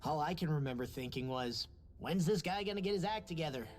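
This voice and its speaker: A man's voice: on the high side, animated, a little fast, faint music behind, close to an everyday voice. An actor voicing a brash but big-hearted young male fighter in a video game cutscene, talking in a casual, candid way.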